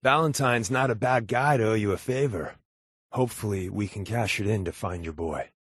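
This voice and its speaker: A man playing a wry, sarcastic spy companion in a video game, with a deadpan, flippant delivery. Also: fairly low pitch, moderate pace, husky, no echo, clean studio sound.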